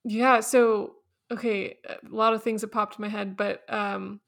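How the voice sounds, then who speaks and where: A woman's voice: medium pitch, flat delivery, measured pace, gravelly. A woman hosting a podcast, chatty and casual, filling her talk with "like" and laughing easily. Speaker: podcast host, company founder. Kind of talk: casual chat.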